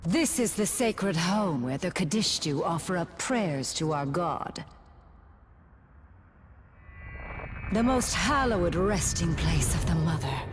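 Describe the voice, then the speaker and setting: A woman's voice: lowish voice, lively intonation, unhurried, hardly any pauses, a little hiss or hum. A woman playing a cruel, grandiose demon priestess in a video game, with formal, commanding, theatrical speech. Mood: reverent, solemn. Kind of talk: dramatic video game voice acting.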